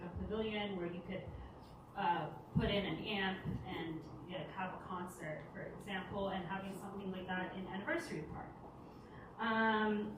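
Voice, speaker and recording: Casual woman in a very echoey hall. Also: mid-range voice. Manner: casual, many ums and likes. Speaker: participant reporting back for her table. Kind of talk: informal report-back at a public meeting. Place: large echoey community hall. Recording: very echoey hall, distant mic, background noise.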